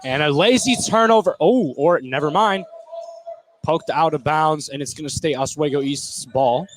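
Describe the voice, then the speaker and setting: Fairly high-voiced male basketball color commentator. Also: moderate pace. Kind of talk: casual live basketball commentary. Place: indoor basketball gym, courtside. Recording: noisy gym ambience. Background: game noise.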